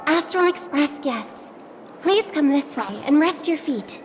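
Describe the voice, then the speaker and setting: A woman voicing a gentle, gracious young woman singer in a video game, speaking in a soft, courteous way. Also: sits high, lively intonation, a little slow, a little hiss or hum. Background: game music.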